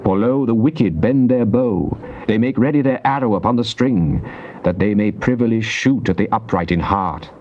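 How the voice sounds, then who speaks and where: A man's voice: fairly low pitch, a little mellow, lightly acted. Casting: male actor for British psalmist in Bible drama, grave, declamatory, fervent in prayer; muffled tape with faint noise. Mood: resolute. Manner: solemn, declamatory, deliberate. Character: psalmist, fervent man praying and praising God. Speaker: scripture reader. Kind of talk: dramatized King James Bible reading. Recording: dull, noisy cassette tape transfer.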